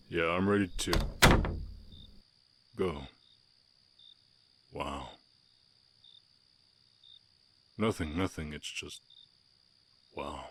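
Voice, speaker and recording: A man with an American Southern accent voicing a gentle, shy, gruff orc ranch hand in a romantic western audio roleplay, speaking haltingly and protectively. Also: sits low, a little hiss or hum, heavily acted. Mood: awestruck, bashful. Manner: bashful, halting, softly protective.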